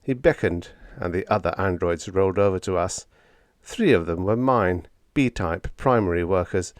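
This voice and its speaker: A man's voice: sits low, moderate pace, no echo. A man reading a story aloud as an audiobook narrator, in a dramatic scripted style.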